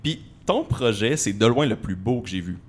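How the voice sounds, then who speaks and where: A man's voice: on the low side, lively intonation, normal speed, slightly rough. A young man, hosting a podcast interview in a playful, joking way. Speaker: podcast host. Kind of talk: casual interview chat.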